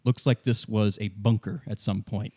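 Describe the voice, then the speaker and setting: A man running a tabletop game, narrating scenes in a casual, halting, conversational way. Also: fairly low pitch, fairly even intonation, dark tone, breathy edge. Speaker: game master.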